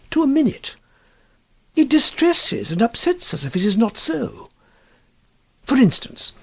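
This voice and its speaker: A man voicing a prim, fretful, middle-aged gentleman in a storybook reading, speaking with fussy precision. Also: high voice, slightly rough, pitched above the performer's own voice, heavily acted. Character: fussy, staid, easily upset middle-aged English gentleman.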